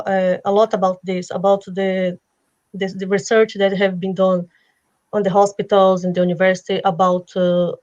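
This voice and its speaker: A woman hosting a video call panel. She asks long questions haltingly, with many fillers and restarts. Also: mid-range voice, darkish tone, muffled recording. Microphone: video call webcam.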